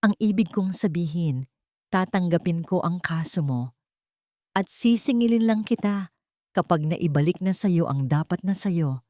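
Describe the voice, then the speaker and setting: A calm, sincere male lawyer from a dramatic story, voiced by a woman with a Filipino accent. He speaks in a measured, businesslike but gentle way, recorded dry. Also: fairly low pitch, dry recording. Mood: calm, reassuring.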